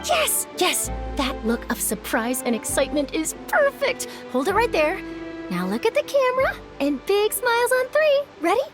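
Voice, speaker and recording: A woman's voice: extremely high pitch, wildly swooping pitch, moderate pace, over loud music, exaggerated voice. A woman playing an eager, bubbly young newspaper reporter and photographer in an anime game. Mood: eager, directing. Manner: bubbly, exclamatory.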